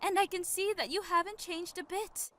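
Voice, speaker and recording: A woman doing a sweet young girl, very high bright voice, earnest, faint music. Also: moderate pace, dry recording. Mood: gentle. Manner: gentle, earnest.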